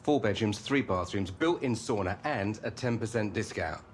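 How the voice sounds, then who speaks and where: Pushy, animated football agent, acted by a man. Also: fairly low pitch, normal speed, slight background noise, lightly acted. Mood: persuasive. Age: middle-aged. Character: pushy, slick middle-aged male agent. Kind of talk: video game cutscene dialogue.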